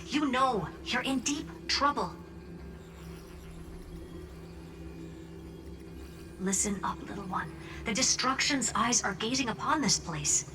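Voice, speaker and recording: A woman's voice: on the high side, close to an everyday voice. An actress playing a confident, teasing woman genius in a video game, speaking with wry self-assurance. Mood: smug, teasing. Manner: wry, self-assured, talking down playfully to the listener. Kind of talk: video game dialogue voice acting. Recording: loud music bed under voice. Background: background music.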